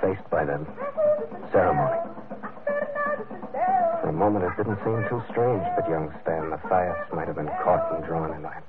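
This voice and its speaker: Tough brooding captain telling his story, low voice, old tinny radio show with loud music. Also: normal speed, long pauses. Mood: tense, watchful. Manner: terse, matter-of-fact, brooding. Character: hard-boiled, stubborn sea captain narrating his adventure. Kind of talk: old-time radio adventure narration and acting. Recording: narrow-band old radio recording under loud music.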